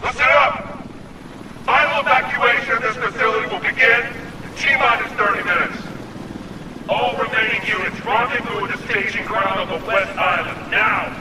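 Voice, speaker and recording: An actor playing a forceful, triumphant military leader announcing orders to his troops in an audio drama. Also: very high-pitched, steady tone, normal speed, pauses often. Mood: triumphant, urgent. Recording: echoey, distant, noisy aircraft backdrop.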